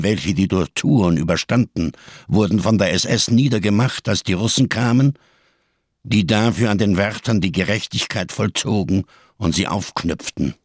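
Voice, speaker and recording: An actor in a radio crime drama playing a giant, scarred, world-weary survivor who speaks in grand, bitterly ironic phrases. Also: male voice, sits low, dry recording, studio quality. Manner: grandiose, bitterly ironic, slow rhetorical delivery.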